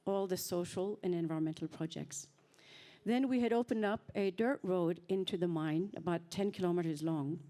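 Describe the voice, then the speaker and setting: A woman's voice: on the low side, normal speed, mellow. Earnest woman. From Norway. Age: 50s. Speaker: panel speaker. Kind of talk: personal storytelling on a panel.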